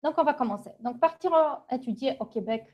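A middle-aged woman from Poland with a Polish accent, presenting an informal webinar in a halting, conversational way. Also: sits high, expressive, a little mellow. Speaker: university international relations manager, webinar presenter. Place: small office, indoors. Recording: dull, lo-fi webcam audio.

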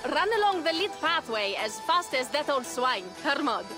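An actress playing a boisterous, playful and proud Viking warrior in a video game, with a hearty, teasing delivery. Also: female voice, high voice, sing-song, normal speed, music in the background. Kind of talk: video game cutscene acting.

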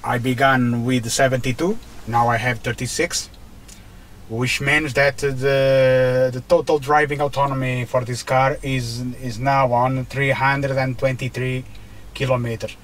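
A man with a Portuguese accent narrates his drive at an unhurried pace, his voice sitting at an average pitch. He stops often, filling the gaps with hesitations and restarts. He is speaking from inside a car. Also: level tone, slight background noise. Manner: halting, many fillers and restarts. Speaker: car vlogger. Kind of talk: casual narration while driving.

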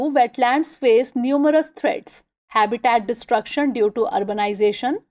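Woman reading exam notes, slightly high voice. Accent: Indian English. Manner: even, measured reading of list items. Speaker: exam-prep lecturer. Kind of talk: scripted narration over slides.

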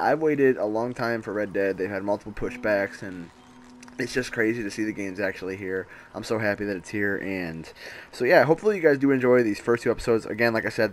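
A young man hosting a let's play, chatting casually and excitedly to his viewers about the game, his voice a bit quiet on the recording. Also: mid-range voice. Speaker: gaming YouTuber.

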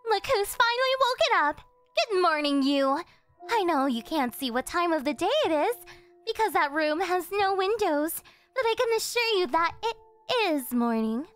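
A woman's voice: very high voice, sing-song, moderate pace, a bit bright, full character voice. A woman playing an obsessive young cafe server turned kidnapper in an anime-style roleplay, sugary, teasing and possessive. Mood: gleefully cheerful. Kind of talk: yandere ASMR roleplay acting, anime-style. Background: music.

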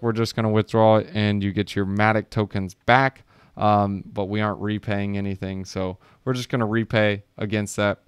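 A man's voice: on the low side, clear, resonant tone. A man casually walking viewers through a screen share, joking and chatty, calling them guys. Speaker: crypto YouTuber.

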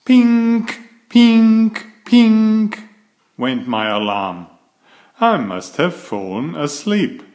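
Slow, lively man reading a storybook. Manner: slow, lively storybook reading, raising his pitch for the girl's lines. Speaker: picture book reader. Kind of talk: reading a children's book aloud.

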